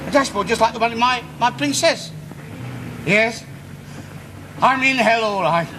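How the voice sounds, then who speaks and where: A man's voice: very high-pitched, measured pace. A man with an English accent playing a bumbling suburban husband in a TV sitcom, hesitant and theatrically flustered. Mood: dazed, pleading. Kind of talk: British TV sitcom acting. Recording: very noisy old TV recording.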